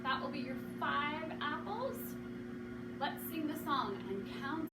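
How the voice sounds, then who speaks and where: A woman's voice: high voice, very animated, slow pace, echoey room, very noisy. A woman hosting a library story time, reading a picture book and chatting simply to young children with questions.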